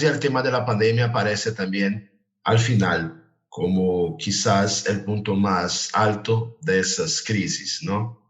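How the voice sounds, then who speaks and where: A man's voice: on the low side, muffled recording. A man from Brazil with a Brazilian Portuguese accent, giving an academic lecture in Spanish, his second language, with frequent restarts and fillers. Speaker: guest lecturer.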